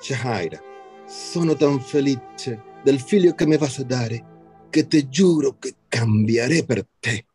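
An actor playing a smitten Italian immigrant lover in a radio soap opera, speaking romantically and effusively with an Italian accent. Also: male voice, mid-range voice, takes it slowly, a little mellow, faint music behind. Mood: happy, devoted.

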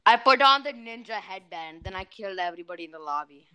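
A woman's voice: mid-range voice, lively intonation, sharp tone, rough recording. A young woman co-hosting a casual podcast, joking and often restarting her sentences. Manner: halting, restarts often, jokey. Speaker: podcast co-host.

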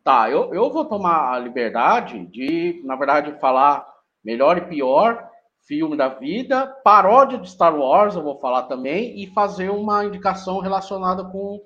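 A man chats casually as a podcast guest. He is playful and slightly halting. The sound is dull and lo-fi. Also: a little high, expressive, fairly bright tone. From Guarulhos, Brazil. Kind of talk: casual chat.

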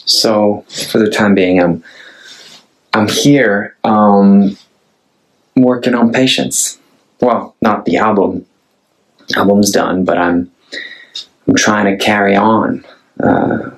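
A Norwegian man in his thirties, a singer-songwriter, talking casually and thoughtfully to camera with a Norwegian accent, often pausing and restarting his sentences. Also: fairly low pitch, steady tone, rough recording. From Norway. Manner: hesitant and thoughtful, many restarts.

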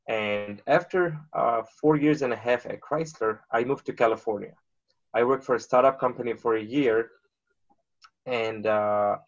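A man in his thirties, from Lebanon, talking casually as an interview guest and pausing often on filler sounds. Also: medium pitch, lo-fi sound. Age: 30s. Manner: halting, many filler uhs.

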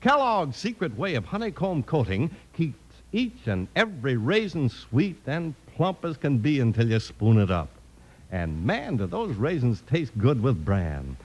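Man doing an old-time radio ad, lively sing-song, cowboy patter. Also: a little high. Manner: folksy cowboy slang. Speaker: radio announcer. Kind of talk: folksy 1950s radio ad.

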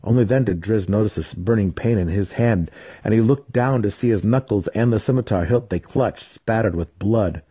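A man's voice: lowish voice, normal speed, warm tone, breathy edge. A man reading fantasy fiction as a grave, dramatic scripted narration. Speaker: audiobook narrator.

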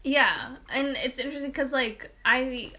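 A young woman with a bright, forceful voice talks in a relaxed, lively way, dropping "like" all through. She grew up in Canada with French as her first language, and she is the guest in a casual group chat. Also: high-pitched, measured pace. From Canada, born in Quebec. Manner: animated storyteller, many "like" fillers, laughs often. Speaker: interview guest. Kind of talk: casual conversation.

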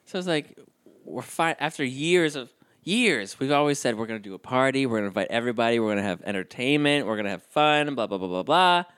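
A man's voice: mid-range voice, fast, clear, resonant tone, no echo, clean studio sound. A man in his thirties co-hosting a casual podcast chat, playful and joking. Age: 30s. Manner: playful, jokey, animated. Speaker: podcast co-host.

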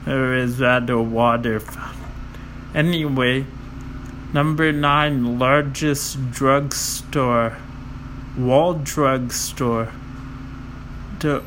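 Man, flat monotone, slow hesitant list reader who trips over names. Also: mid-range voice, a little hiss or hum, low-quality recording. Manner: slow, halting, with many fillers and self-corrections; stumbles over foreign names and apologizes. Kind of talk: reading a list of world records.

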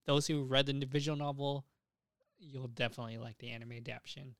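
A man's voice: mid-range voice, fairly even intonation, fairly quick, a bit bright, no echo, studio quality. A young adult man hosting a casual podcast, chatting in an enthusiastic, informal way with plenty of swearing. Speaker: podcast host.

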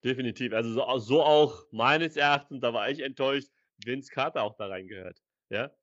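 A man's voice: mid-range voice, moderate pace, fairly bright tone, dry recording, dull audio. A man co-hosting a casual podcast, agreeing readily and restarting his sentences as he gathers his thoughts. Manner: agreeable, short affirmations, hesitant restarts. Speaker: podcast co-host. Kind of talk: casual basketball debate.

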